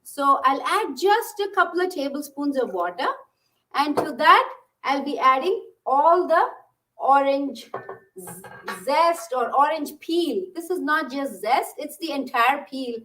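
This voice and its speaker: A woman's voice: high-pitched, animated, a little slow, pushed and bright. A woman from Bangalore, a cooking instructor, gives friendly step-by-step instructions for a recipe in her home kitchen. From Bangalore, India. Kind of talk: casual cooking demonstration. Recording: distant mic.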